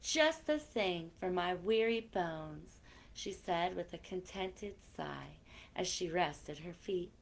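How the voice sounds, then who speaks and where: Animated woman reading stories to children. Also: fairly low pitch, takes it slowly, creaky. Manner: expressive, addressing young listeners directly. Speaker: children's librarian and story time host. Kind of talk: animated read-aloud for children with chatty asides.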